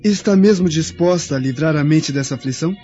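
A kind Roman brother-in-law. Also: male voice, on the high side, fairly quick, husky. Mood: caring. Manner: gentle and reassuring. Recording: dull lo-fi recording with music.

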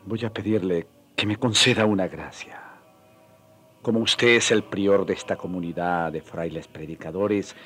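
A man's voice: sits low, fairly even intonation, normal speed, a bit bright, faint music behind. An actor playing a weary, humble man seeking peace in a radio drama, resigned and penitent, with sighing breath. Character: weary, humble, dejected Spanish defender of the natives, seeking peace.

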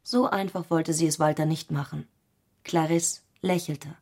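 Eine Schauspielerin spricht in einem literarischen Hörspiel eine grüblerische, knappe, fragende innere Stimme einer Frau. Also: female voice, low voice, steady tone, measured pace, a little mellow. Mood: brooding. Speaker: second narrating voice in a literary radio play. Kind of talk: literary radio drama narration.

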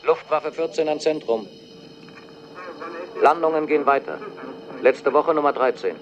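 An actor playing an urgent air force officer, sending clipped, official dispatches over a military radio in a radio drama. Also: male voice, on the high side. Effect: military radio filter. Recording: dull, noisy radio transmission.

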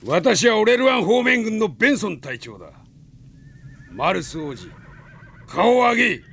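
Haughty, wildly expressive enemy captain. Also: male voice, sits high, unhurried, creaky, a little hiss or hum, full character voice. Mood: mocking. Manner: haughty, mockingly polite, contemptuous. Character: arrogant, sneering enemy army captain, former knight. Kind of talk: Japanese radio drama acting.

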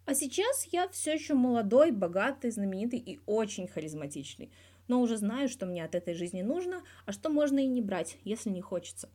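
A young male sports star played by a woman narrator answers in an audiobook interview. He is wry, guarded and blunt, with dry teasing in a mellow voice. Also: fairly high pitch, sing-song, brisk, voice pushed up. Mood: self-assured, frank. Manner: guarded yet blunt, dryly teasing, swears freely.

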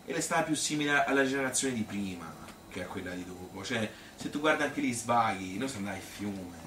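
Rambling Tuscan storyteller, distant and echoey. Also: male voice, lowish voice, animated, fairly quick, fairly bright tone. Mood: wistful. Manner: colloquial, rambling, hesitant repetitions, seeking agreement. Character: chatty Tuscan man reminiscing about his youth, wry and nostalgic. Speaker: stage actor performing a monologue. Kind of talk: spontaneous-sounding theatrical storytelling. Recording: echoey room, distant mic, faint noise.

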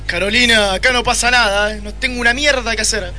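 Hapless, boastful Argentine gumshoe played by a man, high male voice, crude deadpan, comic radio play with music. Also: fast, exaggerated voice. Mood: offhand. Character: seedy, boastful, boozy, hapless male private detective.

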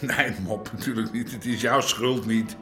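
An actor playing a gruff, middle-aged underworld boss in a radio drama, blunt and domineering. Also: male voice, medium pitch, fairly even intonation, moderate pace, music in the background, close to an everyday voice. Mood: grumbling, settling down. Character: gruff middle-aged Amsterdam underworld boss, short-tempered but fatherly. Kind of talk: naturalistic radio drama acting.

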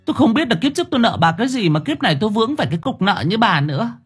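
A man's voice: sing-song, moderate pace, fairly bright tone, husky, soft background music, heavily acted. A man voicing a fierce, scolding middle-aged village shamaness. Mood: furious. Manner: shrill, scolding.

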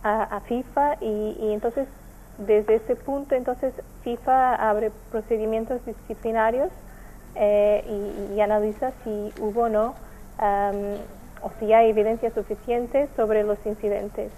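A woman with a Portuguese accent and a soft, mellow, fairly high voice explains her organization's work in an interview. She speaks carefully and haltingly, searching for words as a native Portuguese speaker. Light noise sits behind her. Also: fairly even intonation, rough recording. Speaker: NGO representative being interviewed. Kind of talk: explanatory radio interview answers.

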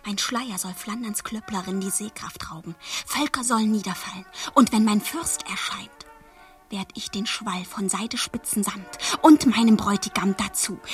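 An actress playing a passionate, wilful thirteen-year-old girl in love, in a literary radio play, with a breathy, husky, intense delivery. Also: medium pitch, close to an everyday voice. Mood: urgent. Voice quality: breathy, husky, often near a whisper. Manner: intense, demanding, dramatic delivery.

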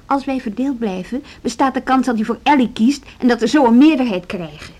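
An actress playing a determined younger deputy headmistress in a radio drama, insistent and argumentative. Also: female voice, a little high, fairly quick, darkish tone, natural voice. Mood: firm, insistent. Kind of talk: Dutch social radio drama acting.

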